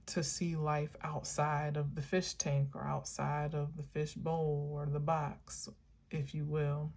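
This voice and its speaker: A woman with a low voice talks unhurriedly through a reflective spiritual monologue. She meanders and muses, filling pauses with ums and likes. Her tone stays earnest and encouraging throughout. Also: vocal fry, darkish tone. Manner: meandering, musing, many filler words. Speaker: spiritual vlogger.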